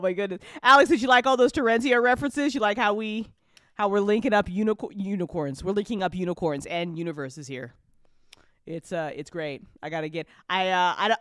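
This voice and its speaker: A woman's voice: mid-range voice, fairly bright tone, clean tone, no echo, studio quality. A woman hosting a casual after-show chat, talking in an emphatic, gushing, sweary way. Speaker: host and audio drama writer.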